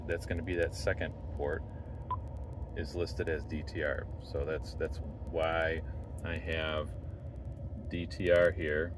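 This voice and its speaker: A man giving a casual, unscripted tutorial, thinking aloud as he explains things informally step by step. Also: low-pitched. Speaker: amateur radio operator demonstrating contest setup. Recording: noisy vehicle interior, clear background noise.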